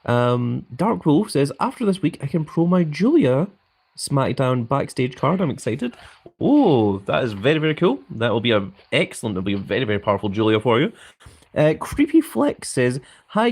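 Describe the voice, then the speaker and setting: A man's voice: medium pitch, darkish tone. A young man live-streaming a mobile game, chatting casually and reading viewers' comments aloud with frequent ums.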